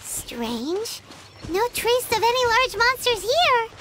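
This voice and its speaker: A woman voicing a tiny, excitable childlike fairy companion with a squeaky voice in a video game, music behind her. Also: takes it slowly, very noisy, full character voice. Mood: excited, puzzled. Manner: exclamatory, refers to herself in third person. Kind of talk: video game character acting.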